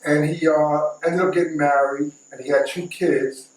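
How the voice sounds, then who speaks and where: A middle-aged man with a fairly high voice spins a casual anecdote. He doubles back and repeats key points for emphasis. The recording is roomy and a bit distant from the mic. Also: fairly even intonation, normal speed. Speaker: storyteller. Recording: echoey, distant mic, faint noise.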